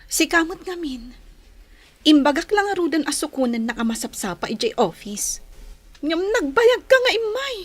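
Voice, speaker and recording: A very high, swooping female voice: a sulky young Ilocano girlfriend in a radio drama. She whines and demands, quick to take offence and reproach. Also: very animated. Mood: reproachful. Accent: Ilocano, northern Philippines. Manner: whiny, sulking.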